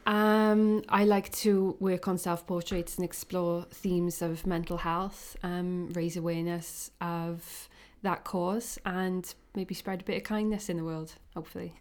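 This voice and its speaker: A woman's voice: on the low side, level tone, a little slow, a little mellow. A Welsh woman, a photographer, answering interview questions in a casual, informal way with frequent fillers. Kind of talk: conversational interview.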